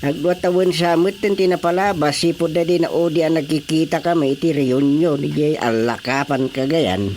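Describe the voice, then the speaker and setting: A man's voice: fairly high pitch, normal speed, hardly any pauses, a little mellow, slight background noise, lightly acted. A man playing a jovial, wheedling middle-aged village chairman in a comic radio drama, with an Ilocano accent and exclamatory, theatrical delivery. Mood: chatty.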